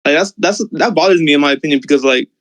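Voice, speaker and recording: A man's voice: a little high, quick pace, a bit bright. A man giving opinions as a fan in a casual, slangy chat, often saying like and you know. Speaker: discussion panelist, football fan.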